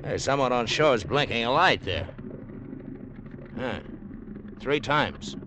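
An actor playing a gullible, easygoing American tourist with casual, slangy speech, in a 1950s radio adventure drama. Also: male voice, mid-range voice, lively intonation, takes it slowly. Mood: uneasy, compliant. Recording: noisy old radio broadcast recording.

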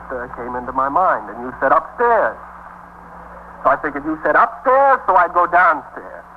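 An actor playing a cornered, self-pitying small-time drug dealer from the streets, rambling and griping in a 1950s radio drama. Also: male voice, medium pitch, natural voice. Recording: muffled 1950s radio recording, faint hum.

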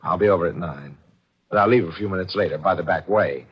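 An actor playing a cold, calculating, gloating killer in a 1940s radio mystery, with a theatrical, deliberate, menacing delivery. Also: male voice, sits low, breathy edge. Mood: smooth scheming. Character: cold, calculating, gloating male murderer and schemer. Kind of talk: 1940s radio mystery acting, with first-person narration. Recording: muffled old radio broadcast with music.

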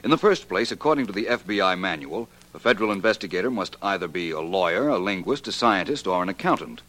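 Deep-voiced, self-assured radio detective. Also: male voice, animated, quick pace, fairly bright tone. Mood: self-assured, explanatory. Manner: crisp, confident, methodical explaining. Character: shrewd, self-assured male amateur detective explaining his deductions. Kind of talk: 1940s radio detective drama acting.

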